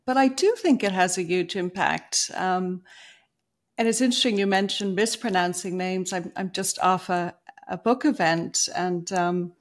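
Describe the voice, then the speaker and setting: A woman's voice: fairly low pitch, a little slow. A woman in her fifties from Dublin with an Irish accent, a guest author speaking thoughtfully on a video call, with many fillers and easy laughs. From Dublin, Ireland. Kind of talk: conversational podcast interview. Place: home room, video call. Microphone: over-ear headphones, video call.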